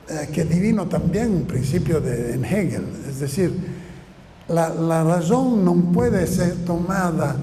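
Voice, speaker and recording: An older man, a native Italian speaker with an Italian accent, giving a halting, improvised academic lecture, often searching for words and repeating phrases. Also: fairly high pitch, dark tone. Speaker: seminar lecturer. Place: seminar room or hall, indoors. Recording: echoey reverberant hall with room noise.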